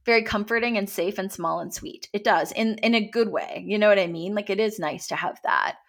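A woman's voice: medium pitch, fairly quick, gravelly, fairly bright tone. A woman in her thirties from Canada, an actor chatting as a guest in a casual interview, rambling through lots of restarts and fillers.

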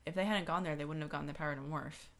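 A woman's voice: sits low, fairly even intonation, very fast, a bit bright. A woman co-hosting a casual book discussion, talking informally and questioning things as she thinks aloud. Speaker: podcast co-host. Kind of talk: casual chat discussing books.

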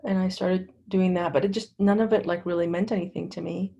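A middle-aged woman from Lebanon with a fairly low voice and a gravelly fry. She tells her personal story candidly and conversationally, halting now and then as she goes. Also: steady tone, mellow, highs cut off. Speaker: interview guest. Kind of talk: personal testimony in casual conversation.

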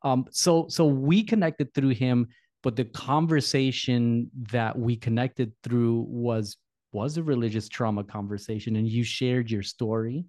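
A man's voice: medium pitch, steady tone, a little slow, a little mellow, no echo, clean studio sound. A man hosting a podcast, introducing his guest in a friendly, rambling way with repeated words. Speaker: podcast host. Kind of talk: casual interview.

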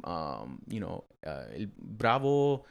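A man's voice: deep voice, wildly swooping pitch, slow, vocal fry, dull audio. A man chatting casually with a friend. He is easygoing, laughs often, and sometimes drawls his words. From United States, raised by Mexican immigrant parents. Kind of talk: casual chat.

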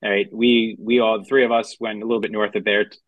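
A man's voice: fairly low pitch, level tone, fast talker, no echo, phone-quality sound. American man interviewing, close dry sound. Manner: informal, rephrases questions as he goes. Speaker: podcast interviewer. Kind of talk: casual chat, interview questions.

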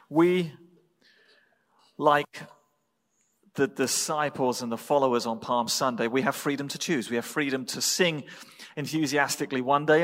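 A man with an English accent preaching a sermon as a pastor, speaking in measured, deliberate phrases with pauses. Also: medium pitch, a bit bright. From England. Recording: reverberant hall.

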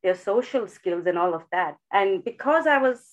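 A woman's voice: medium pitch, expressive, normal speed, lo-fi sound. A woman educator from India, thoughtfully answering podcast interview questions with frequent restarts. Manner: thoughtful, many restarts and fillers. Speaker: guest educator.

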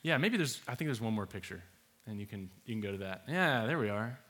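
Young male host, relaxed and chatty while presenting slides. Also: fairly low pitch, a little fast. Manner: relaxed, many fillers, laughs easily.

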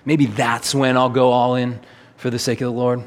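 A man's voice: medium pitch, flat delivery, normal speed. A man preaching a sermon in an earnest, emphatic way, with rhetorical questions and repetition. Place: large hall, preaching to a congregation. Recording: reverberant hall.

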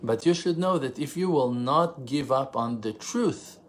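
Earnest Israeli-accented rabbi, Hebrew native, teaching in English with halting, self-correcting delivery and mid-range pitch; faint noise behind. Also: male voice, expressive. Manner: earnest, emphatic, rhetorical questions; halting, pauses and self-corrections while translating. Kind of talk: spontaneous religious teaching.

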